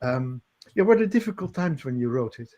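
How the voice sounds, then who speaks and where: A man co-hosting an interview. He speaks eagerly but haltingly, often restarts his sentences, and laughs easily. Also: medium pitch, very animated, mellow. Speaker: co-host interviewer. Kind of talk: conversational interview.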